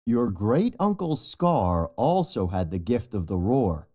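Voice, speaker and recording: A storybook narrator voicing an authoritative adult lion king and father in a serious, measured way. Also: medium pitch, big pitch swings, mellow. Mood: grave.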